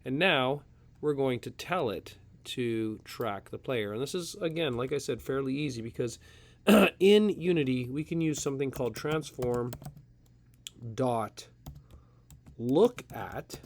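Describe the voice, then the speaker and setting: A man's voice: medium pitch, a little slow, slight background noise. A man with a slight head cold, casually talking through a programming tutorial at his computer, with plenty of fillers along the way. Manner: relaxed, talks through steps with fillers. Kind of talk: casual screen-recorded coding tutorial.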